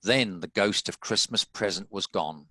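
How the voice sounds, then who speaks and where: Male storyteller, lowish voice with a forceful bright edge, dry sound, scripted narration. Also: unhurried, no echo.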